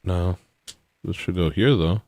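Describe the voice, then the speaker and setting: A man with a rough voice, chatting casually and rambling self-deprecatingly while making music on a stream. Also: deep voice, measured pace.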